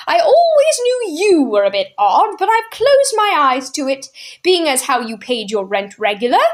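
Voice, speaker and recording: A woman voicing a prim, fussy older landlady who sounds shrill and scandalised.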